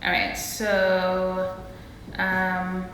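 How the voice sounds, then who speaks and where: Woman, 30s, vlog co-host, bright and animated, echoey room. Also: lowish voice, extremely slow. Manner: animated, laughing, dramatic exclamations. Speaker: vlogger and co-host. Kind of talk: casual couples Q&A chat. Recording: roomy echo with faint noise.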